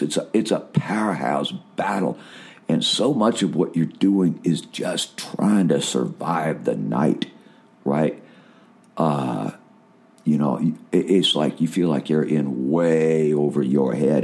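Very deep gravelly man testifying. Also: warm tone. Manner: earnest, repetitive, punctuates with "right?"; big voice, jumps up in pitch when stirred. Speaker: preacher. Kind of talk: spiritual testimony.

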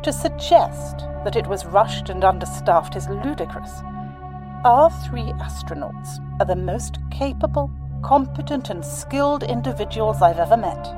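An actress playing a haughty, self-assured British entrepreneur, posh and dismissive, giving an interview in an audio drama. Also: female voice, medium pitch, expressive, measured pace, music in the background, lightly acted. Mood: smug, boastful.

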